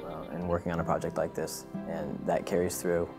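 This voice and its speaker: A man's voice: sits low, level tone, a little fast. A young man, an animation student, giving an emphatic documentary interview testimonial with a run of points. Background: music.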